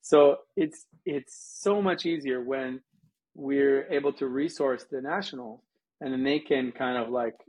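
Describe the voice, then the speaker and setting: A man's voice: mid-range voice, unhurried, a little mellow, breathy edge, poor audio. An American man from Colorado answering interview questions conversationally, with anecdotes, as a guest from a missions organization. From United States, Colorado.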